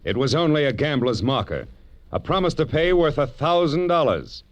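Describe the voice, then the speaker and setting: A man's voice: a little high, sing-song, moderate pace, over loud music. An actor playing a tough-as-nails private eye, narrating his case dramatically in 1940s radio style. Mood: grim, dramatic. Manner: hard-boiled, dramatic narration. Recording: old 1940s radio recording, faint noise.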